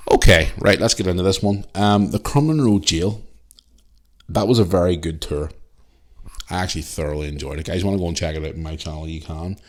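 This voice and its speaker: Low-voiced Northern Irish podcast host. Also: male voice. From Northern Ireland. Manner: rambling, chatty, with many fillers and restarts. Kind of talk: casual solo podcast, reading listener email.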